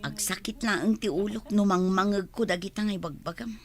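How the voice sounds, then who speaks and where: A woman's voice: mid-range voice, fairly quick, natural voice. A woman playing a put-upon, testy village wife and mother with an Ilocano accent in a comedy radio drama. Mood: weary, guarded.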